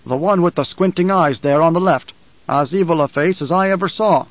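A man's voice: fairly high pitch, fairly quick, no room sound, dull audio, voice pushed up, natural voice. A man voicing a loyal, vengeful warrior retainer in an audiobook. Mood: grim.

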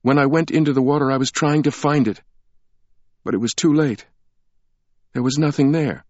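A man voicing a distraught young woman in an audiobook, speaking softly and pleadingly with a raised voice. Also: normal speed, no room sound, lightly acted. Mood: urgent. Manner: soft, pleading, raised pitch. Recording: dry, slightly muffled recording.

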